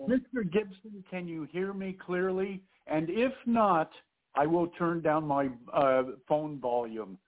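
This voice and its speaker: A man's voice: on the high side, a little slow, a little hiss or hum. A man co-hosting a podcast, interviewing a guest in a stiff, formal way with long questions. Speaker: podcast co-host. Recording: tinny phone line.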